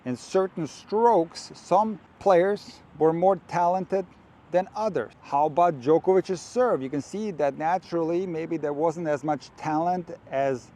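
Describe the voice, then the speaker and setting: A man from Croatia who coaches tennis, talking to camera in an earnest, direct and measured way, outdoors. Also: fairly high pitch, mellow.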